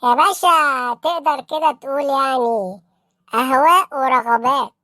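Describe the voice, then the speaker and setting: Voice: sits high, moderate pace, pushed and bright, dry recording, studio quality. A performer voicing a naive young street hustler in an Egyptian comedy cartoon, earnest, deferential and matter-of-fact, with an Egyptian accent. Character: naive young street hustler, respectful petty-crime suspect.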